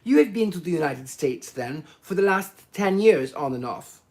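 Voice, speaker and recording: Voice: mid-range voice, expressive, normal speed, a little mellow, lightly acted. A reader voicing a fussy, precise middle-aged Belgian detective questioning witnesses in an audiobook. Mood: probing.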